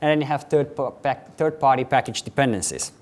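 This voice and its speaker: A young man from Slovenia with a Slovenian accent, giving a quick, chatty technical conference talk to a live audience. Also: medium pitch, a little mellow. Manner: quick, chatty presenter with filler words, addressing a live audience. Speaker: conference speaker.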